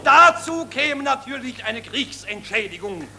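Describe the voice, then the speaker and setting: A man's voice: extremely high pitch, animated, a little slow. A man acting a fanatical German nationalist orator, declaiming stridently at a rally in a 1940s radio play. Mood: strident, demanding. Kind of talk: 1940s radio drama political speech. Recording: noisy 1940s radio recording, crowd behind.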